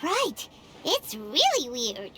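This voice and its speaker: A woman voicing a tiny, childlike fairy companion in a video game, eager and bold, with a squeaky voice. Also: wildly swooping pitch, slow pace, fairly bright tone, full character voice. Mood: puzzled. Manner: eager, exclamatory. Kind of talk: video game character acting. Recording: music playing behind. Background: game music.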